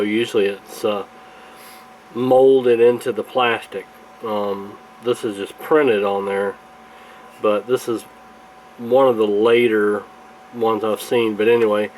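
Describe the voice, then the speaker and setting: A man giving a casual hands-on repair tutorial in a halting, unscripted, explanatory way. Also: mid-range voice, low-quality recording. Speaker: radio repair video host. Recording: clear background noise.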